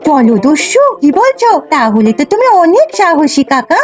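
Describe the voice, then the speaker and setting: A woman voicing an excitable, wide-eyed young village girl in a cartoon. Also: sits high, normal speed, music in the background. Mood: excited, curious. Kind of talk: Bengali cartoon voice acting.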